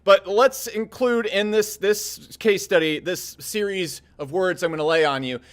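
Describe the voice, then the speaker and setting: A man's voice: high-pitched, a little slow. A man in his thirties giving blunt, profanity-laced business advice straight to camera. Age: 30s. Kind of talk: casual direct-to-camera advice talk.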